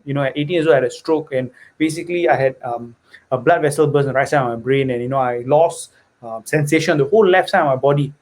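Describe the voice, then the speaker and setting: A man's voice: medium pitch, fast talker, darkish tone. A young man from Singapore, earnestly telling his life story as a podcast guest and often checking in with "right?" and "you know". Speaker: guest investor. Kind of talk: personal story in conversation.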